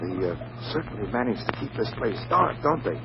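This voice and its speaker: An actor playing a smooth-talking, slightly bashful businessman in a 1940s radio drama, polite and deliberate. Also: medium pitch, sing-song. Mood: bashful, hesitant. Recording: muffled, hissy 1940s radio recording.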